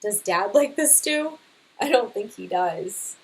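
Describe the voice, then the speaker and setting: A woman's voice: mid-range voice, animated, takes it slowly, poor audio. A woman reading a storybook to children, with chatty, teacherly asides and questions to the listeners.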